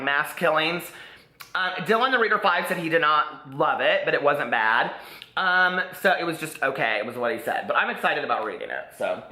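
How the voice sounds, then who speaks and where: A chatty book vlogger, a man from Indiana, talks through his reading list with a bright, forceful voice and a slight Southern drawl. His lively, sassy asides come through with noticeable room echo. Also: on the high side. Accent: Midwestern American with a slight Southern drawl. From Indiana, USA. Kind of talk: casual chat to camera.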